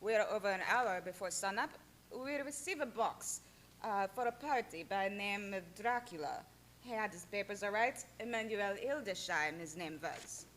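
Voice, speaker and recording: A woman's voice: mid-range voice, moderate pace, a bit bright, a little hiss or hum, lightly acted, rough recording. A woman acting a plain-spoken ship captain and messenger in a radio drama. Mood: brisk. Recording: faint music behind live-streamed performance.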